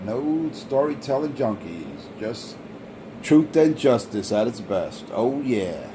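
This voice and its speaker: A man's voice: mid-range voice, sing-song, slow pace. A man taunting a rival on a CB radio, boastful and mocking, repeating his lines. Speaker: CB radio operator. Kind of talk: taunting banter over a CB radio. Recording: noisy radio transmission.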